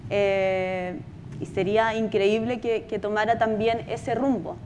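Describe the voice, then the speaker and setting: A woman's voice: mid-range voice, level tone, unhurried, noisy background. A woman giving an informal, tentative answer on a panel at a live event, repeating some words. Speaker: podcast maker on a panel.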